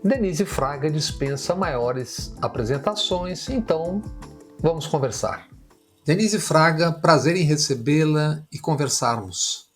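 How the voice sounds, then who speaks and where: A man hosting an interview, giving formal, measured introductions and asking questions. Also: fairly high pitch, expressive, soft background music. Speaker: interview host.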